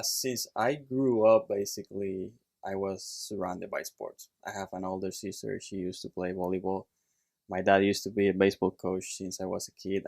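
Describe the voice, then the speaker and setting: A man from Puerto Rico with a Puerto Rican accent, a chiropractor guest telling his story in a casual interview, relaxed and plain with fillers. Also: sits low, vocal fry, no room sound. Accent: Puerto Rican Spanish.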